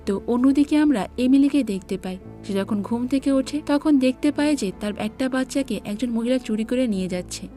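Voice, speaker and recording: Female recap narrator, mellow and slightly high, explanatory scripted storytelling over background music.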